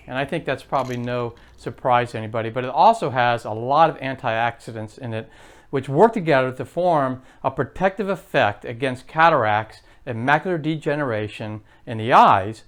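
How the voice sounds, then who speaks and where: Chatty man in his late fifties. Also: mid-range voice. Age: 50s. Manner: chatty, rambling, frequent fillers and restarts. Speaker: health vlogger. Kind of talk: casual to-camera vlog.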